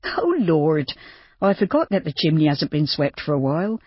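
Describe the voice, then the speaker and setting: A reader voicing a bustling, kindly farmer's wife who is motherly and brisk. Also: mid-range voice, big pitch swings, breathy edge, no echo, dull audio.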